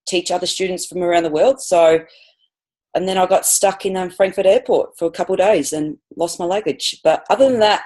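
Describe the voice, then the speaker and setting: A woman's voice: fairly low pitch, a little mellow. Australian woman guest, gym teacher, eager, rambling, fillers and restarts. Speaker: guest, physical education teacher. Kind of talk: casual podcast interview.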